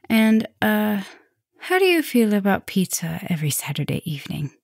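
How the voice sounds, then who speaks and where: Low-voiced, intimate vampire mistress, acted by a woman. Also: creaky, darkish tone, no room sound, studio quality, full character voice. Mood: playful, reassuring. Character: caring, softly dominant female vampire professor, protective mistress. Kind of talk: ASMR roleplay, speaking intimately to the listener.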